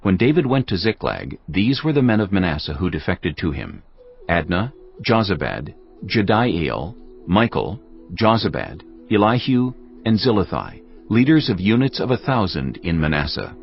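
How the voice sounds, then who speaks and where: A man narrating scripture from a script in a measured, solemn manner. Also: low-pitched, very animated, gravelly, highs cut off. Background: background music.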